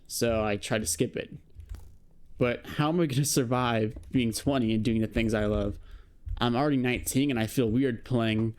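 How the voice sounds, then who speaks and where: A man's voice: medium pitch, darkish tone, a little hiss or hum. A young man in his late teens talking reflectively to camera in a rambling vlog, full of fillers and "you know". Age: young adult. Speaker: vlogger. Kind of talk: casual monologue to camera.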